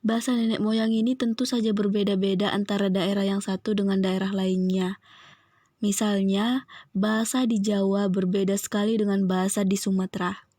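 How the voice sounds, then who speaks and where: Young woman presenting, even-toned and dark-voiced. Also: mid-range voice, normal speed, no echo, clean studio sound. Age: young adult. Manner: methodical, enumerating points. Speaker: university student presenter. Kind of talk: scripted educational presentation.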